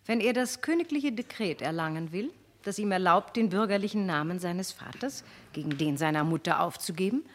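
A woman's voice: fairly low pitch, lively intonation, a little fast. An actress playing a condescending noble society woman in a radio drama, formal and haughty. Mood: cool condescension.

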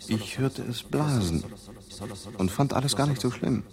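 A man playing an unflappable adventurer who narrates absurd exploits in a measured deadpan, in a scripted experimental radio play. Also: mid-range voice, a crowd in the background, lightly acted. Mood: detached, narrating. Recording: music behind the voice.

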